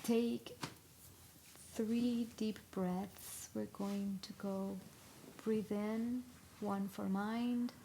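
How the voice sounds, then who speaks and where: A woman leading a live guided meditation in a soothing, instructional way, with long pauses between short phrases. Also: medium pitch, steady tone, mellow, low-quality recording. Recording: live recording with clear background noise.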